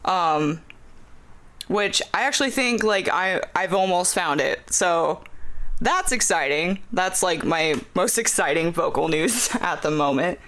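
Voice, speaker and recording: A man's voice: sits high, animated, a little slow, pushed and bright. Rambling young man podcast guest. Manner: rambling, full of "like", frequent laughs. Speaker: podcast guest, music fan. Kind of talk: casual podcast chat.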